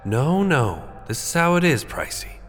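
A man voicing a calm, taunting, seductive female killer in a horror story, deliberate in manner. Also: a little high, big-room sound, soft background music, pitched above the performer's own voice. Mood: coolly scolding.

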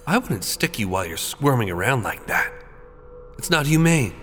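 A man voicing a calm, taunting, seductive female killer in a horror story, deliberate in manner. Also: mid-range voice, in a large hall, a little hiss or hum. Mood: coolly scolding.

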